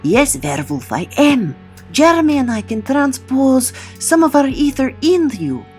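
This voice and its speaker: A woman's voice: high voice, big pitch swings, moderate pace, darkish tone, soft background music. A woman playing a resolute, self-sacrificing supernatural figure in an audio drama, firm and formal. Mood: firm, resigned.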